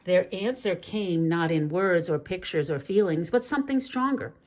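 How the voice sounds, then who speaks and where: A woman reading a children's story aloud in an expressive, deliberate way with dramatic phrasing. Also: fairly low pitch. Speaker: storybook reader. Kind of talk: read-aloud children's story narration with asides.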